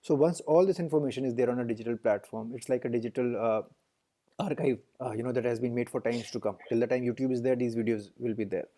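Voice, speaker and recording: A man's voice: mid-range voice, steady tone, quick pace, warm tone. A man with an Indian English accent, a lawyer and guest in an interview, speaking earnestly and listing points with many fillers; his first language is Hindi. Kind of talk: interview conversation.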